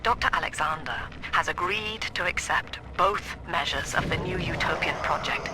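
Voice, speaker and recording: An actress playing a cold, cerebral middle-aged psychiatrist and cult leader in a video game, speaking in a formal, precise, solemn manner. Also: female voice, on the low side, normal speed, music in the background, close to an everyday voice, poor audio. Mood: clinical, resolute. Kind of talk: dramatic video game monologue.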